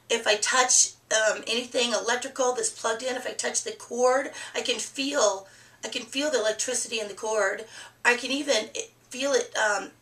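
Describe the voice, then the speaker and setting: A middle-aged woman talks openly to her webcam, her voice hoarse from a cold and gravelly with fry. Her tone is bright and a little high. The small room adds a roomy echo, and the mic sits a bit away from her. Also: recorded from a distance. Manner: chatty, candid, laughs easily. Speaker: vlogger. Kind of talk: casual personal vlog. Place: small room with a plain green wall.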